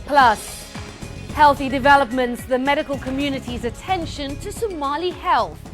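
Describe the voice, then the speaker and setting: Lady reading tv news narration, measured and formal, music bed under it. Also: high voice. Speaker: news presenter. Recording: voice-over with music behind.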